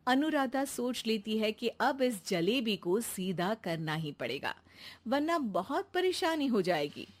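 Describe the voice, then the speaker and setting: A woman's voice: on the high side, big pitch swings, unhurried. A woman narrating a cartoon story as a scripted storyteller.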